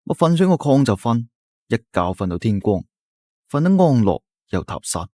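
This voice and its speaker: A man's voice: medium pitch, big pitch swings, moderate pace, mellow, no echo, clean studio sound. A man with a Cantonese accent narrating a folk tale in a dramatic, suspenseful style. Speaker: storyteller. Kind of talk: scripted audio-drama narration.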